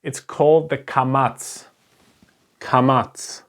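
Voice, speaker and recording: A man's voice: mid-range voice, fairly even intonation, slow. A man with a German accent, a native German speaker, patiently teaching step by step and checking in with "right?". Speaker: Hebrew teacher. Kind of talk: slow explanatory lesson at a board.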